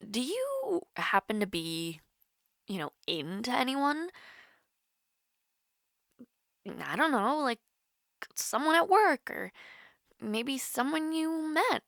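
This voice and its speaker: A woman's voice: on the high side, very animated, slow pace, gravelly, pauses often, no room sound, studio quality, heavily acted. A young woman playing a shy, caring college student with a crush in an intimate audio roleplay, hesitant and easily flustered. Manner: hesitant, flustered, soft-hearted, giggly. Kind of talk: intimate romantic audio roleplay, one-sided call.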